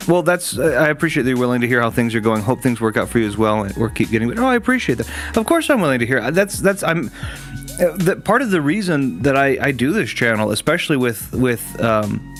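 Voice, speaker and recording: A man's voice: medium pitch, animated, brisk, a bit bright, soft background music. A man hosting a gaming stream, chatting casually with viewers in a rambling way, full of restarts and fillers.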